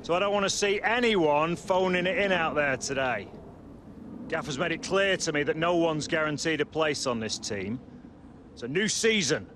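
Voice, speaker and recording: A demanding male football coach, blunt and needling, with a slightly high voice. He barks like a game character out on a training ground, with outdoor noise behind him. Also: fairly bright tone. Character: stern, demanding male football coach rallying his squad. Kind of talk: video game cutscene acting.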